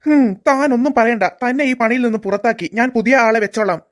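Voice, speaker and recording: A woman's voice: a little high, quick pace, close to an everyday voice. A woman voicing a stern male school principal in a cartoon, scolding and dismissive.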